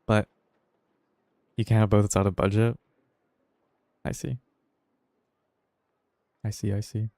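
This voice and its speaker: A man's voice: fairly low pitch, little pitch movement, takes it slowly, gravelly, pauses often, dark tone, dry recording. A man streaming a game and chatting casually, rambling in a hesitant, laid-back way as he thinks aloud. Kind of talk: casual livestream chat while gaming. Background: faint music.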